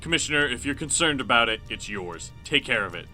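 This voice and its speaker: A man playing a stern, coldly controlled vigilante in a radio drama, deliberate and threatening. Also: mid-range voice, animated. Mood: cold threat. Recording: loud music under the voice.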